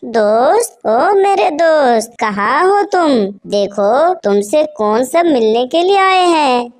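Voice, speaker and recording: A woman's voice: sits high, big pitch swings, takes it slowly, exaggerated voice. A woman playing a friendly, helpful female butterfly in a children's cartoon. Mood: helpful, calling. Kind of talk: animated children's story voice acting.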